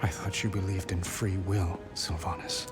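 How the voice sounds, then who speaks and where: A man's voice: low voice, flat delivery, lightly acted. A man playing a defiant, righteous young captive king in a dramatic game cinematic, earnest and challenging. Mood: bitter, challenging. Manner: slow, impassioned, challenging. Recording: cinematic music behind the voice.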